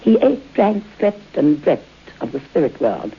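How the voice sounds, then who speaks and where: An actress playing a genteel, knowing middle-aged widow in a vintage radio suspense play. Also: female voice, deep voice, sing-song, unhurried, breathy edge, exaggerated voice. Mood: ominous, knowing. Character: genteel, eerie middle-aged widow hostess, coyly hospitable. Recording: muffled tinny old radio broadcast.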